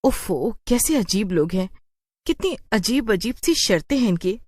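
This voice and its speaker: A woman's voice: mid-range voice, lively intonation, moderate pace, a little mellow, no room sound, pitched above the performer's own voice, natural voice. A woman voicing an anxious, complaining young working wife in a cartoon story. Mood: complaining, weary. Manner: exclaiming, fretful. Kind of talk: animated cartoon story voice acting.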